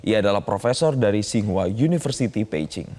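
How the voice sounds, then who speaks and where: A male news presenter. His voice sits on the low side, and he delivers scripted news narration. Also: animated, brisk, darkish tone.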